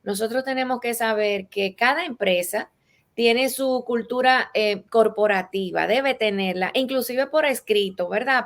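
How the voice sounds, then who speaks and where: A woman's voice: fairly high pitch, fairly bright tone. A woman with a Latin American Spanish accent, speaking as an expert guest in an interview and explaining rules with practical examples in a didactic way.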